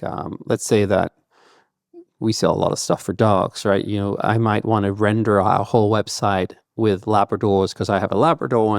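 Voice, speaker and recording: Low gravelly man, Danish native. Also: no room sound, studio quality. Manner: loose and thoughtful, with many fillers like "kind of" and "like". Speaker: guest entrepreneur. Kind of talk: conversational podcast interview.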